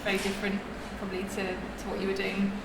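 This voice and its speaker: A woman hosting an interview, asking questions and offering quick friendly interjections. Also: mid-range voice, completely flat delivery, background noise. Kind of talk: casual interview.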